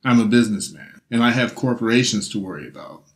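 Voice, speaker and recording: A smug billionaire tycoon, cold and unruffled , voiced by a man in a lowish register. He drawls with annoying calm. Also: fast talker, natural voice. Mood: dismissive.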